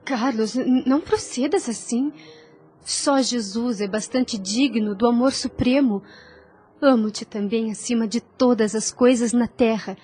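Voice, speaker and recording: A woman's voice: on the high side, unhurried, warm tone, slight background noise, natural voice. An actress playing a devout young woman in a solemn audio drama, tender, self-denying and resolute, speaking in lofty, formal phrases. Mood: pleading, tender. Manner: lofty, formal, earnest delivery. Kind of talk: solemn audio drama acting of a religious novel.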